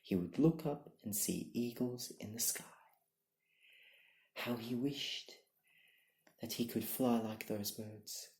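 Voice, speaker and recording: A young adult reading bedtime stories in a soft-spoken, partly whispered ASMR style, with a gentle, deliberate and hushed delivery. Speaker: ASMR storyteller. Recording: low-quality, echoey room recording.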